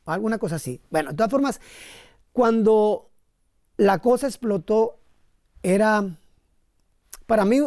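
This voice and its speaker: Mellow-voiced Colombian man telling his experiences. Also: high voice, measured pace, pauses often, dry recording, studio quality. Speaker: missionary priest, interview guest. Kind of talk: conversational personal storytelling in an interview.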